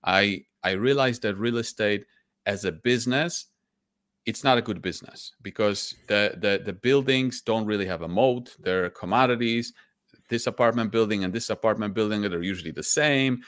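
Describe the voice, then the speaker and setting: A man's voice: fairly low pitch, normal speed, a bit bright, smooth, dry recording, clean studio sound. A man, a guest candidly telling his story in an interview and often restarting his sentences. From Poland. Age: 40s. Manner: candid and reflective, with frequent restarts. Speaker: guest, stock investor.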